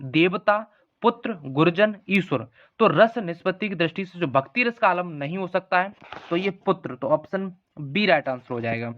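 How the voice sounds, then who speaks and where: A man with a somewhat high-pitched voice teaches a test-preparation class. He reads out multiple-choice questions and their options in an instructional manner, then repeats the correct answer. The recording sounds a little dull and lo-fi. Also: expressive, normal speed. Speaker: exam-prep teacher. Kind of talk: lesson walking through multiple-choice practice questions.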